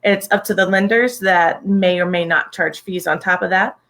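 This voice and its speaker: A woman from Virginia, a loan officer guest, explaining things clearly in a friendly way. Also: fairly low pitch, steady tone, normal speed, fairly bright tone. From Virginia, USA. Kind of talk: explanatory interview talk.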